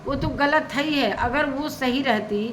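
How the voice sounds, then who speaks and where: A woman's voice: sits high, a little slow, a bit bright, a little hiss or hum. A woman vlogger giving casual, opinionated commentary, repeating her points for emphasis. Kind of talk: casual spoken commentary on social media gossip.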